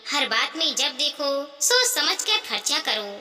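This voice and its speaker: A woman's voice: high-pitched, very animated, a little slow, pushed and bright, far from the mic, exaggerated voice. A woman voicing a spoiled, stubborn young wife in a moral story, complaining and defiant. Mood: angry, self-pitying. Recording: long hall-like reverb.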